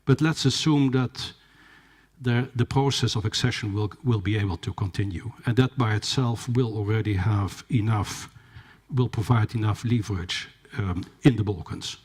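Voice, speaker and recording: A man giving a formal conference talk, measured and hesitant, with fillers and restarts. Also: lowish voice, a little mellow, breathy edge. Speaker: conference speaker. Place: conference hall.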